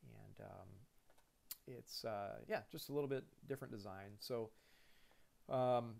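This is a man in his thirties with a gravelly, mid-pitched voice, explaining things like a pilot showing around his workplace. He speaks casually, with lots of ums and restarts, recorded indoors at a desk computer. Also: a little slow. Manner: relaxed and conversational, heavy with fillers and restarts. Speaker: airline pilot giving a cockpit tour. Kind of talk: casual screen-share lesson.

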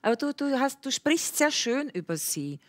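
A woman's voice: sits high, sing-song, moderate pace. A woman in her forties with an Austrian accent, interviewing conversationally as a talk show host. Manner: frequent listening murmurs and interjections. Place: TV talk show studio with audience.

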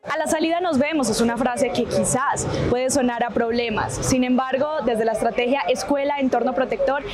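A Colombian woman in her twenties hosts a news magazine. Her voice is high and her delivery is scripted. Loud music runs behind her. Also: moderate pace. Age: 20s. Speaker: news show presenter. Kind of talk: scripted presenting to camera.

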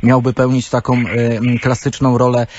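A male interviewer poses formal, long-winded questions on the radio. His voice is mid-pitched. The audio is muffled, with a faint hiss behind it. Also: monotone, moderate pace, a little mellow.

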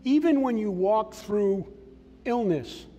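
A man's voice: sits high, lively intonation, slow pace. An older man from Massachusetts preaching a sermon on a church stage, giving earnest, plainspoken personal testimony. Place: large church sanctuary stage. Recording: long hall reverb, soft music behind.

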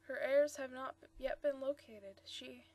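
A woman's voice: high-pitched, a little slow, darkish tone. A woman reading the part of a nervous old male antique dealer who stammers and pleads. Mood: anxious.

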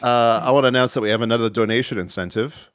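A man's voice: mid-range voice, steady tone, quick pace, a bit bright, dry recording, studio quality. A man hosting a charity livestream, announcing donation rewards in a rambling, filler-heavy way. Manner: rambling, many fillers and restarts. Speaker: livestream host.

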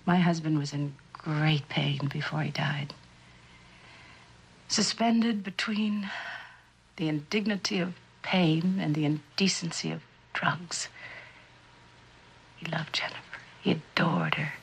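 An actress playing a middle-aged, genteel, grieving widow in a mid-century suspense drama, speaking haltingly and gravely in a husky, breathy voice. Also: female voice, sits low, a little hiss or hum, full character voice, rough recording. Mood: haunted, halting. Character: haunted, grieving widowed mother, genteel and fearful.

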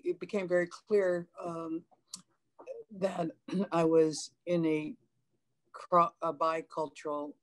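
An older woman whose first language is Chinese, telling her story as a panelist over a video call, earnest, with frequent hesitations and restarts. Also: deep voice. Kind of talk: personal account on a panel. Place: home room, indoors.

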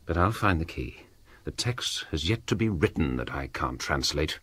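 A man playing a bookish, self-assured young English scholar in a radio drama, proper and slightly fussy, with a husky voice. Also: very deep voice, animated, moderate pace, a bit bright, no room sound, exaggerated voice. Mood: absorbed, confident.

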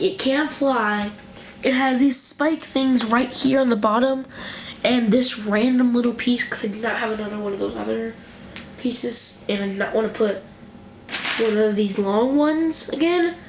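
Rambling high-voiced woman in echoey room. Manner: rambling, self-correcting, excitable. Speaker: toy reviewer. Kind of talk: casual rambling review. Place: indoor room with a wooden floor, off camera. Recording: dull, echoey home camera recording with faint hiss.

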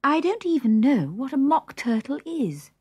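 A woman's voice: high voice, sing-song, moderate pace, darkish tone, dull audio, voice pushed up. A woman voicing a polite, curious young girl, speaking earnestly in a children's storybook reading.

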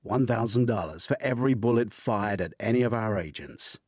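A man acts out a gruff agency boss in a thriller audiobook. He bargains in a curt, folksy, tough manner. The voice is low and husky, recorded dry and close. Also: lively intonation, brisk, dry recording. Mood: curt, firm.

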